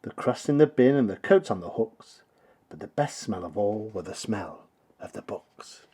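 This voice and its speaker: A man reading a rhyming children's story aloud with theatrical, rhythmic, expressive delivery. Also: on the low side, moderate pace, slightly rough. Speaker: storybook reader.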